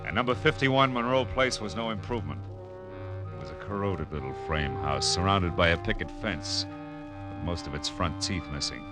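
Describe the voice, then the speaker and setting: A man's voice: deep voice, expressive, moderate pace. An American man playing a wry, hard-boiled private detective in 1940s radio style, with clipped, dry, wisecracking delivery. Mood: wry, brooding. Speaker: lead actor in a radio detective drama. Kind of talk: 1940s radio acting, noir narration. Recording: old radio broadcast with organ music.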